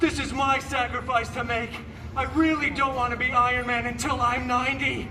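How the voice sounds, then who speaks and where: A man voicing an indignant, self-important armored superhero who protests loudly in a live storytime parody. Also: very high voice, little pitch movement, normal speed, distant mic, a little hiss or hum, full character voice. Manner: shouting, pleading, protesting. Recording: echoey theme park PA, loud music behind.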